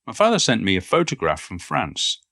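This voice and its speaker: A man playing a reflective, modest older Cornish poet in an audio play monologue, reminiscing in a measured, literary and musing way. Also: low-pitched, wildly swooping pitch, pushed and bright, no room sound, studio quality, heavily acted. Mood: sober reminiscence.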